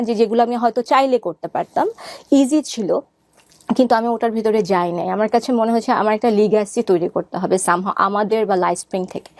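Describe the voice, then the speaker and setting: A woman's voice: fairly high pitch, darkish tone, dry recording. A middle-aged Bangladeshi woman speaking earnestly and reflectively as an interview guest, often switching into English. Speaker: interview guest, doctor and parenting content creator. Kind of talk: reflective personal talk in an interview.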